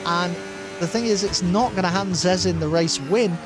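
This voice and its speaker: A man commentating a sim race and signing off his stream, excitable and rambling, with his voice wearing thin after a long session. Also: high voice, normal speed. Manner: excited, rambling, with many uh fillers. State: losing his voice.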